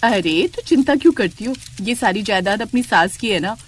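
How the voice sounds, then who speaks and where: A woman's voice: medium pitch, animated, normal speed, background noise, lightly acted. A woman voicing callous, selfish, scheming young daughters in a moral story cartoon, with a dismissive, scornful manner. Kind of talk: animated moral story dialogue.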